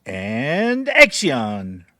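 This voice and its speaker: A man's voice: mid-range voice, wildly swooping pitch, drawn-out words, bright, forceful tone, no echo, studio quality, heavily acted. A man playing a pompous, artsy French film director with a French accent and fussy, theatrical broken English. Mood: directing, gushing.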